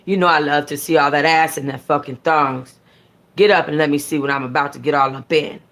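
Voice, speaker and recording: A woman's voice: sits low, steady tone, a little fast, fairly bright tone, voice pushed down. A woman voicing a blunt, demanding man, a lover in a steamy urban novel, with terse slangy talk. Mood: lustful, bossy.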